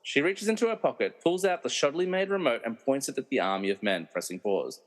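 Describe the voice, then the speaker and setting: Animated, wry man as narrator, big reverb. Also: mid-range voice, fast, natural voice. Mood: suspenseful. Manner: wry, theatrical. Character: wry, meddling movie narrator who mocks the characters. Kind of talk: dramatic scripted narration with comic asides. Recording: reverberant hall-like echo.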